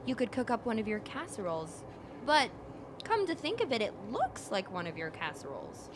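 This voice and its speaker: A woman's voice: fairly high pitch, fairly quick. A woman playing a wry, teasing member of a polar expedition in a radio play. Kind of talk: dramatic radio play acting. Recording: clear steady background noise.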